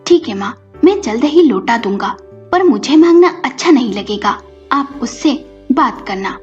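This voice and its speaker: A woman's voice: a little high, lively intonation, measured pace. Woman voicing a worried, polite grown son. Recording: muffled recording with music behind.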